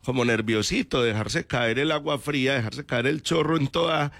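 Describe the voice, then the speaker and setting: A man's voice: mid-range voice, normal speed, gravelly, a bit bright, no room sound, studio quality. A man chatting casually as a panelist on a radio show. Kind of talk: casual chat. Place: radio studio.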